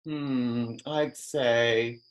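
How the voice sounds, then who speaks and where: A man playing a flippant, exasperated personal assistant in a scripted drama table read, sardonic and halting. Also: mid-range voice, steady tone. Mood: wry, patronising. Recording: video call.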